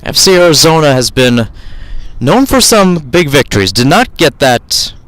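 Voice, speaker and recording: A man's voice: on the high side, sing-song, moderate pace, fairly bright tone, no echo, poor audio. A man calling live soccer play-by-play for radio from outdoor fields, talking in a rambling way and often correcting himself.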